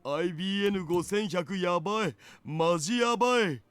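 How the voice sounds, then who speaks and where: A young otaku hacker from an anime visual novel. He is nerdy, laid-back and slangy, with a fairly high voice and brisk delivery. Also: a bit bright. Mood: impressed. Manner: slangy, geeky. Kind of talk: Japanese visual novel voice acting.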